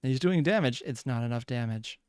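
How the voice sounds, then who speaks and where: A man's voice: medium pitch, lively intonation, a little fast, darkish tone, smooth, dry recording, clean studio sound. A man hosting a reaction video, commenting casually in a rambling, analytical way.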